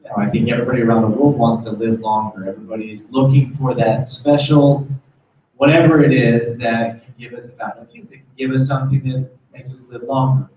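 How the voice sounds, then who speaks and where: A man's voice: medium pitch, fairly even intonation, brisk, warm tone. American guy doing a motivational company talk, super echoey, mic kinda far away and muffled. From United States. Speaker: corporate executive, guest speaker. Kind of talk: informal motivational product talk. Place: meeting room, speaking before small audience.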